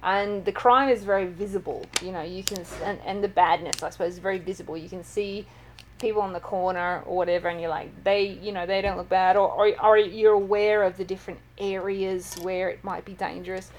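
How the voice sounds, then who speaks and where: A woman's voice: mid-range voice, fairly even intonation, brisk, darkish tone, a little hiss or hum. A woman with an Australian accent, an author telling stories in a casual interview, chatty and full of ums. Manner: chatty storyteller, many fillers. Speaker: author and guest.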